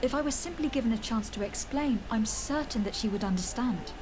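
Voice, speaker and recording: A woman's voice: on the high side, brisk, noisy background, lightly acted. An actress playing a polite, determined woman adventurer in a video game, speaking in a formal, articulate way. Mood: earnest, pleading. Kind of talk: video game character dialogue.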